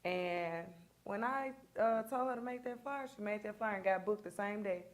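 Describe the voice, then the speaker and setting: Lively woman stylist. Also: mid-range voice, normal speed. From Mississippi. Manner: lively, talks straight to viewers with slang. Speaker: hairstylist. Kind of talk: casual chat during a live hair install. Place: beauty studio.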